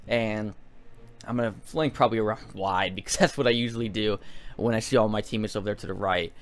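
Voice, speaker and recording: A man's voice: fairly low pitch, fast talker. A man giving casual video game commentary in a rambling, hesitant way, often repeating himself.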